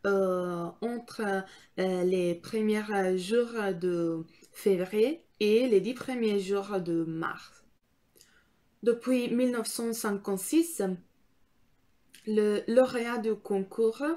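Slow, hesitant Italian-accented young woman. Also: medium pitch, a little mellow. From Italy. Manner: hesitant, many filler sounds while searching for words. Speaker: student vlogger. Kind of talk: educational talk to camera. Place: small room indoors. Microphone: camera or phone in front, framed from below.

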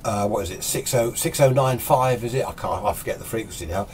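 An older British man in his sixties with a lowish voice talks off the cuff in a chatty, opinionated way. He rambles through an informal hobby tutorial, with clear noise behind him in a small workshop. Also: a little fast. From United Kingdom. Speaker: amateur radio hobbyist presenting a tutorial. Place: small indoor radio workshop.

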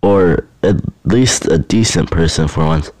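A teenage boy vlogging a roast in a flat, monotone, deadpan voice with dry sarcasm. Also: deep voice, unhurried, no echo. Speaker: YouTuber. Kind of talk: casual roast vlog narration.

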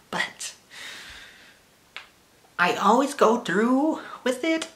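A small, worried cartoon bear sidekick with a high, strained voice, as a young man puts it on. The voice is bright and keeps climbing. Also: expressive, drawn-out words, heavily acted.

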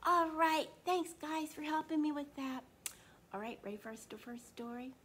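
A woman's voice: sits high, moderate pace, fairly bright tone, slight background noise. A woman in her sixties reading aloud to children at storytime, expressively, putting questions to them as she goes. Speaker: children's librarian storytime host. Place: small indoor room.

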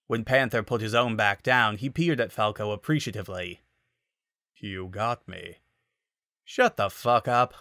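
A man's voice: mid-range voice, fairly bright tone, no room sound, studio quality. A man narrating a story as a scripted dramatic reading. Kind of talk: dramatic reading of fan fiction.

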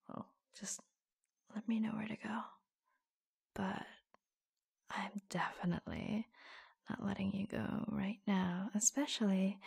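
A woman playing a flirtatious, teasing office lover in an intimate ASMR roleplay, breathy and husky, often dropping to a whisper. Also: mid-range voice, warm tone, heavily acted. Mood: coy, teasing. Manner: slow, coy, teasing, giggly. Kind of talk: ASMR roleplay, intimate romantic audio drama.

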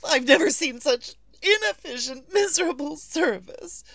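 High-pitched, frantic invalid wife. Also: female voice, expressive, unhurried, creaky, fairly bright tone, no echo, exaggerated voice. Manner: fretful, imperious, stammering and repeating herself. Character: nervous, demanding bedridden invalid wife, easily frantic. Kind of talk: 1940s radio suspense acting.

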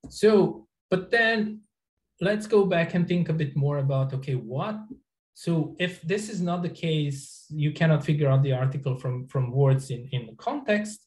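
A middle-aged man, an or Croatian speaker, giving an academic talk over a video call in a halting way with many restarts. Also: fairly high pitch, animated, darkish tone, echoey room. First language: Serbian or Croatian. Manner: halting, frequent restarts and fillers. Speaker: researcher giving a lecture. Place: indoor office. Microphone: webcam on a video call.

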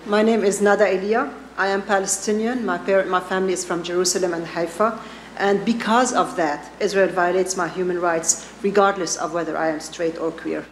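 A woman's voice: on the low side, steady tone, fairly quick. Middle-aged woman in echoey, noisy room. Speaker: public commenter at council meeting. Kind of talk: prepared personal statement. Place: public meeting room. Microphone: podium microphone. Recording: echoey meeting room, faint noise.

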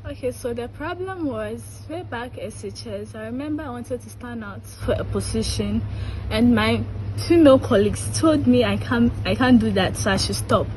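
A young woman with a Ghanaian accent shares a personal account. Her voice is a little high, over faint background noise on a phone video. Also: lively intonation, moderate pace, darkish tone, low-quality recording. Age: young adult. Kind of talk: personal testimony to camera.

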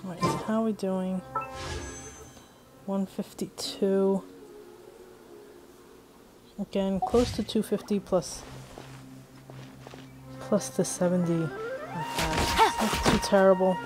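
A woman with a medium-pitched voice comments casually on a game she is playing. She thinks aloud in an informal way. Loud game music plays behind her. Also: sing-song, slow pace, long pauses. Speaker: gamer recording a walkthrough.

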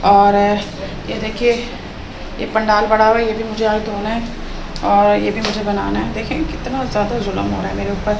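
A woman's voice: medium pitch, little pitch movement, moderate pace. Chatty woman in echoey kitchen. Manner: chatty, addresses viewers directly, mixes in English words. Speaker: home cook showing a recipe. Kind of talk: casual cooking vlog narration. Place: home kitchen. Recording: echoey room with clear household noise.

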